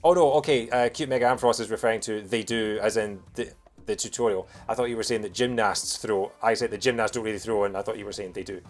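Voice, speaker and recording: A man from Lanarkshire with a soft Scottish accent, doing casual, jokey live gameplay commentary as a streamer. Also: on the low side, quick pace. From Bothwell, Lanarkshire, Scotland. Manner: jokey, punning, animated when reacting to the game. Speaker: video game streamer. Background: game music.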